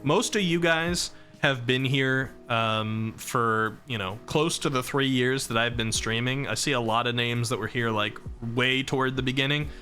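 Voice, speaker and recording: A young man streaming live, chatting casually about himself with a rambling, self-deprecating manner, his voice starting to go hoarse from talking so long. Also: medium pitch, moderate pace, hardly any pauses, bright, forceful tone, faint music behind. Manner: rambling and self-deprecating, reading chat and laughing.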